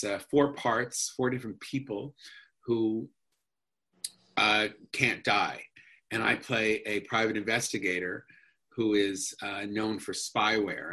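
A man's voice: fairly low pitch, fairly bright tone. A man being interviewed as an actor, chatting casually and rambling with many restarts and fillers. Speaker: actor guest.

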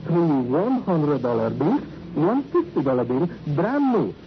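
Excitable travel agent, Spanish accent, very lively intonation, indignant manner, muffled vintage radio recording. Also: male voice, fairly high pitch. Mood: insistent. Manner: emphatic, protesting, halting foreign phrasing. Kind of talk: classic radio crime drama acting. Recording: dull old radio recording, faint noise.

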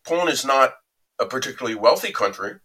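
Bright-toned man, reflective interview guest. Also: medium pitch, expressive, breathy edge. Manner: reflective, anecdotal, measured. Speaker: interview guest recounting a reporting trip. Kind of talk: conversational interview answer.

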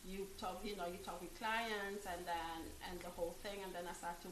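A woman's voice: fairly low pitch, little pitch movement, moderate pace. A woman from France, chatting casually as an interview guest in hesitant English. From born in Africa, grew up in France. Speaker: guest, fashion designer and entrepreneur. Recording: roomy echo, clearly noisy background.

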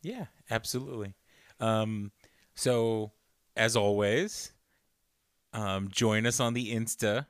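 A man's voice: lowish voice, slow pace, long pauses, clean tone, no echo, clean studio sound. A man co-hosting a podcast in casual chat, laid-back and agreeable, often answering in short replies. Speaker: podcast co-host.

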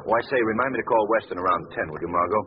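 An actor playing an urbane, unflappable gentleman with polished banter, in 1940s radio style. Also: fairly low pitch, racing, close to an everyday voice. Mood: genial, wry. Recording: noisy muffled old radio recording.

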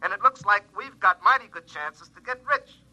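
An actor playing a scheming, insistent future self in a classic radio drama, explaining emphatically through a telephone filter. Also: male voice, sits high, lively intonation, normal speed, pushed and bright, heavily acted. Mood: persuasive. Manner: emphatic, explanatory, repeating himself. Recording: tinny, band-limited telephone line.

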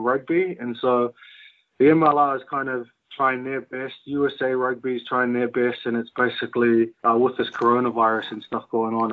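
A young man from New Zealand talks easily about his sports career, loose and full of fillers. The sound is thin and phone-like, as if coming down a call line. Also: mid-range voice. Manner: relaxed, loose with fillers and restarts. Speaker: rugby player guest. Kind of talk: casual interview chat.

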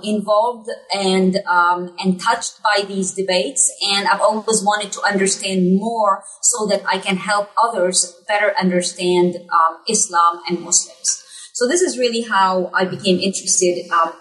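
A woman in her thirties from Egypt, an author answering interview questions in a measured way with many ums and restarts. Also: mid-range voice, fairly bright tone, far from the mic, rough recording. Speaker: author guest. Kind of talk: academic podcast interview.

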